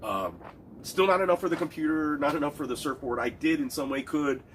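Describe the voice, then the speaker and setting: A man's voice: fairly high pitch, animated, brisk, slight background noise, poor audio. A man with a stuffed-up nose, rambling casually in a solo talk about fights and bets, with many restarts and fillers. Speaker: cigar and MMA betting reviewer.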